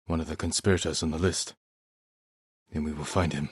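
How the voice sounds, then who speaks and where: A stern, honorable young samurai warrior from a dramatic video game. His voice is deep and husky, close and dry. He speaks in terse, measured lines with restrained, weary resolve. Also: fairly even intonation, no echo, heavily acted. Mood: low, wary. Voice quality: low, husky voice.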